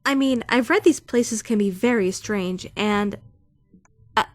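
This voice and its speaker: A woman's voice: mid-range voice, measured pace, no room sound, natural voice. Woman acting a prim, dramatic young student. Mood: nervous, rambling. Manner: formal, precise wording with theatrical indignation. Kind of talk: first-person visual novel fan dub narration and dialogue. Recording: music behind the voice.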